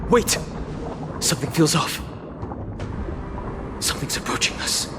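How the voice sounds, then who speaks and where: A man's voice: measured pace, background noise, lightly acted. A man playing an earnest, watchful young prison guard in a video game, speaking with tense urgency. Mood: alarmed. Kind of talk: dramatic video game dialogue. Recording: loud music behind the voice.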